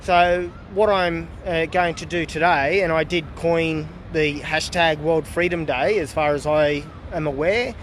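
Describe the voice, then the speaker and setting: A man's voice: fairly high pitch, fairly even intonation, measured pace, hardly any pauses, fairly bright tone, slight background noise. Rambling man streaming outdoors. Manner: rambling, many fillers and asides. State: losing his voice. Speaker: political vlogger. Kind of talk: casual livestream talk to viewers. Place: outdoors in a public town area.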